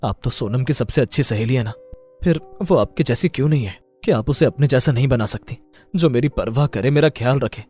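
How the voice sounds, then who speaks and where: A man's voice: medium pitch, fairly quick, dark tone, slightly rough, soft background music, natural voice. A man playing a stubborn, neglected young husband in a melodramatic story, with an emphatic, aggrieved manner. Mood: grateful, aggrieved. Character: neglected, stubborn young husband Aditya, smitten with another woman. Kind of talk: melodramatic Hindi moral story acting.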